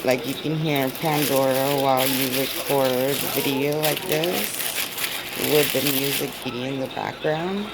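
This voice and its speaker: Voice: mid-range voice, steady tone, unhurried. A vlogger chatting casually in a video diary, rambling with many fillers and frequent laughs, filmed on a phone in an indoor room. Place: indoor room with a window view. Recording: noisy room ambience.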